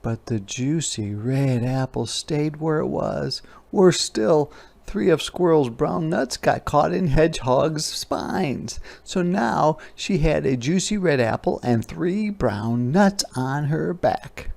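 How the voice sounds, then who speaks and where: A man reads aloud to young children at a slow pace, with some fry in his voice. He stretches important words and breaks off to explain them or put questions to his listeners. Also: a little high, expressive, darkish tone. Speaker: teacher reading aloud. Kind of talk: interactive children's read-aloud.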